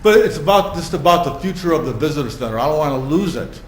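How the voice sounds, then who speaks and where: An emphatic man makes his case at a village board meeting, stressing words and circling back to his points. His pitch swings widely and sits a little high. The sound is an echoey meeting room with faint hiss. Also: lively intonation, fast. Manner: emphatic, repeats words, talks with feeling. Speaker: resident speaking at public comment. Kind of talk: emotional public comment at a village board meeting.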